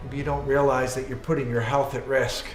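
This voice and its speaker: A man's voice: medium pitch, little pitch movement, close to an everyday voice. Actor as a stern religious father in an echoey room. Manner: halting, disapproving. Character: stern, religious middle-aged father, quick to anger. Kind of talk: earnest low-budget morality skit acting. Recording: echoey room under loud music.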